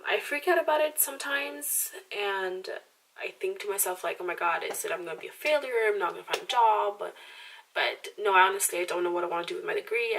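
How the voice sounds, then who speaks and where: A woman's voice: on the low side, gravelly, a bit bright, recorded from a distance, low-quality recording. A woman in her twenties with a slight Romanian accent, chatting to camera in a rambling, self-deprecating way full of "like" and restarts. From Romanian background. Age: 20s. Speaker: vlogger. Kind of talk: casual chatty monologue to camera.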